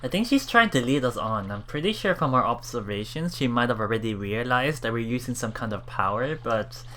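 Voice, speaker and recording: A man hosting a let's play, reading game text aloud and adding casual, halting commentary with repeated words and exclamations. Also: mid-range voice, expressive, fairly bright tone, slight background noise. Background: game music.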